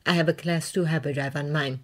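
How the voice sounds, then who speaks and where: A woman's voice: low voice, fairly even intonation, brisk, close to an everyday voice. A woman voicing a shrewd Mandalorian woman mercenary with a dry, knowing manner. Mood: matter-of-fact. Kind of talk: fan fiction audiobook reading.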